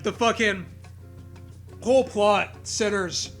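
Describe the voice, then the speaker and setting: A man's voice: high-pitched, takes it slowly, a bit bright, faint music behind. A man co-hosting casual comedy commentary, mocking what is being read and swearing freely. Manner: mocking, profane. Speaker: co-host.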